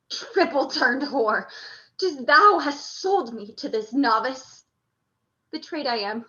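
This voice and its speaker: A proud and wrathful commander, acted by a woman, declaiming Shakespearean orders for radio theatre. The voice is high and sharp with deliberate pacing, and the sound is slightly dull. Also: heavily acted.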